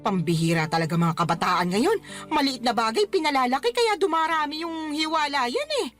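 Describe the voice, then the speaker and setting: Animated nagging mother. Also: high-pitched, fairly quick. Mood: disapproving. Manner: exclamatory, scolding, motherly. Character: teasing, nagging, fond middle-aged mother. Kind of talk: radio drama dialogue. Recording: music under the voice. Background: music.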